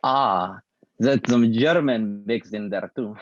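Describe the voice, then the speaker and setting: An actor playing a stern, impatient old theater professor at a play table read, brusque and condescending. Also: male voice, fairly low pitch, slow, dry recording, dull audio. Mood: dismissive.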